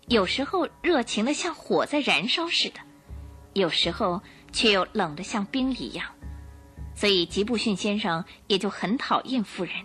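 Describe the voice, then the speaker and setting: A woman narrating a radio drama as a scripted story, in a dramatic, expressive storytelling manner. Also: mid-range voice, breathy edge.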